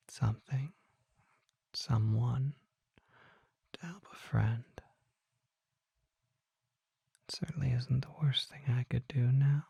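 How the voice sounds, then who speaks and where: A performer plays a mysterious vampire apothecary in an ASMR roleplay, whispering with very breathy, husky softness. The delivery is slow, close and dry, with courteous, old-fashioned phrasing and some gravel at the edges of the words. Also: medium pitch, no room sound, full character voice. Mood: grave, musing. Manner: formal, polite, unhurried, old-fashioned phrasing.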